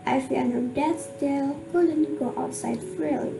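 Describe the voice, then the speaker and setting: A woman's voice: high-pitched, a little slow, rough recording. A woman narrating a children's tale for an amateur radio drama in a scripted storytelling style. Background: guitar music.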